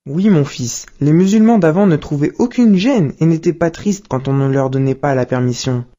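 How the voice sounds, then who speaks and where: A woman voicing a patient, wise father who gently explains things to his son in a cartoon, on dull lo-fi audio. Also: low voice, normal speed, lightly acted. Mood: patient teaching.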